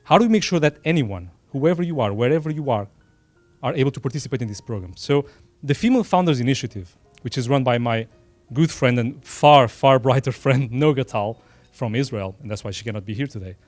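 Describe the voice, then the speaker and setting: A middle-aged man with a Portuguese accent speaks from the heart at a dinner event, earnest and unscripted, slipping in modest asides. His voice sits at an ordinary pitch for a man. The sound is dry and close, with faint music in the background. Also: expressive, brisk, darkish tone, no echo. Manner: earnest, unscripted, frequent self-deprecating asides. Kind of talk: informal speech at a dinner event. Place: small room at a dinner event.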